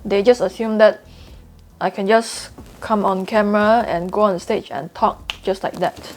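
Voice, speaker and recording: A woman's voice: medium pitch, steady tone, a little slow, a little mellow. A young woman with a Singaporean accent talking candidly to camera in a vlog, earnest and confiding, repeating points for emphasis. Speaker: trading YouTuber.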